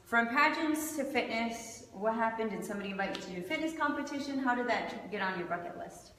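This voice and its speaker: A woman's voice: on the high side, lively intonation, a little fast, fairly bright tone. A woman hosting a casual interview show, chatting in an upbeat, enthusiastic and encouraging way. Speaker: show host. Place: echoey indoor clothing shop. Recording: echoey reverberant room, distant, noisy.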